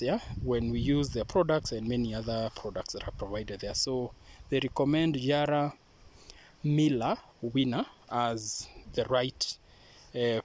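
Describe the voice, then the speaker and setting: A Kenyan man, a native Swahili speaker, talks a listener through a farm task outdoors, pausing often and drifting from the mic as he works with his hands. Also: mid-range voice. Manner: unhurried, hesitant with many pauses. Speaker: avocado farmer. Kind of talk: hands-on farming demonstration.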